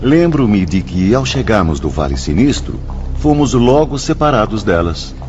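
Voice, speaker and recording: A man's voice: on the low side, big pitch swings, moderate pace, darkish tone, soft background music. An actor playing an earnest male spirit pupil, speaking formally and reflectively in a radio drama. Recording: dull audio with faint ambience.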